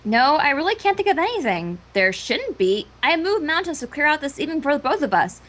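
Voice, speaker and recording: Woman as a relaxed pony princess, high and lilting. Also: a little fast. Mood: puzzled. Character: mellow young pony princess, relaxed, mildly puzzled wife.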